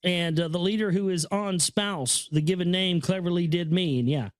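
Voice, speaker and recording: Guy with a highish voice reading and commenting on a book, rambly, trips over words, super earnest, real dry sound. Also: moderate pace, dry recording. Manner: rambling, stammering, repeats phrases; awed, earnest. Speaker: reader and commentator.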